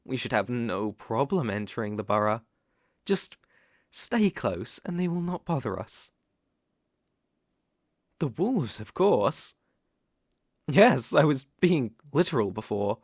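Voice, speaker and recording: A man's voice: medium pitch, no room sound, studio quality. Man acting as courtly, sinister British werewolf. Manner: courtly, formal, measured, insinuating. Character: smooth-talking sinister male werewolf posing as protective gentleman. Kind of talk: ASMR roleplay audio acting.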